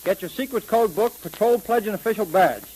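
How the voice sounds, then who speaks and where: An American actor playing an eager, boyish police sidekick in slangy 1930s radio style. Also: male voice, high-pitched, brisk, a little mellow, husky, poor audio. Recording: hissy 1930s radio recording.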